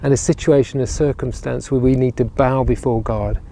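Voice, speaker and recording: A man's voice: medium pitch, fairly even intonation, normal speed, vocal fry, dark tone. A man, preaching earnestly to camera outdoors on a lapel mic, often repeating words as he builds a point. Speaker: regional minister. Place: outdoors by ruined castle walls on a sunny day. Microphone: clip-on lapel mic.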